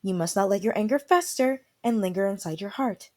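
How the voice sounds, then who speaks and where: A woman playing a calm, supportive royal attendant in a fantasy audio roleplay, giving gentle and earnest formal counsel. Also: medium pitch, brisk, lightly acted. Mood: concerned, encouraging.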